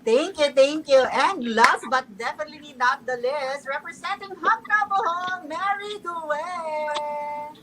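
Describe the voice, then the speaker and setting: A woman's voice: sits high, a little slow, a crowd in the background, poor audio. A woman with a Filipino accent hosting a casual online interview, chatty and full of fillers, switching between Filipino and English. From Philippines. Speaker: host.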